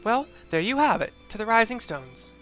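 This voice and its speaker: A man's voice: sits high, sing-song, normal speed, bright, forceful tone, faint music behind. A man giving casual, chatty let's-play commentary as a streamer, loosely describing each scene aloud. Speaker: game streamer, narrator.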